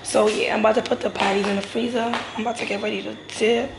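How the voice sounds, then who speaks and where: A woman's voice: a little high, flat delivery, fast, gravelly. A young woman, vlogging casually to camera at home, rambling with slang and fillers. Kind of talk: casual vlog chat to camera. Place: home while traveling. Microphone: phone or camera mic with ring light. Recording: noisy ambient background.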